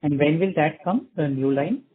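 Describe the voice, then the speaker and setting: Higher-voiced Indian man calling by phone. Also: animated, moderate pace, breathy edge. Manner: polite, tags phrases with "right?". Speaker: analyst caller asking questions. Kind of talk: spontaneous questioning on an earnings call. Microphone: phone call. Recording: thin narrowband phone line.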